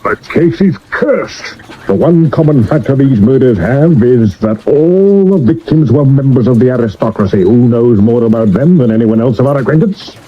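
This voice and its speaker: An actor playing a tired, dry, dogged Victorian police detective in a 1970s radio drama, understated and matter-of-fact. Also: male voice, medium pitch, animated, moderate pace, slight background noise, close to an everyday voice. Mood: weary, intent. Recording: muffled old medium-wave radio recording.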